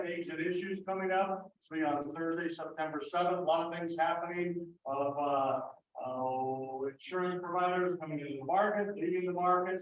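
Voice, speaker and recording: A man using a loud coaching voice without a microphone, making chamber announcements at a member meeting. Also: on the high side. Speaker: chamber of commerce representative. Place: meeting room. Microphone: none, room pickup. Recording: very roomy, from far off, faint background ambience.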